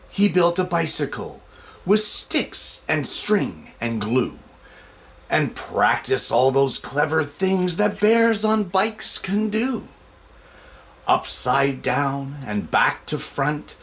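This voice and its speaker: A man hosting a show for families and reading a children's picture book aloud in an expressive, measured way. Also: on the high side. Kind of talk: casual introduction, then expressive storybook read-aloud.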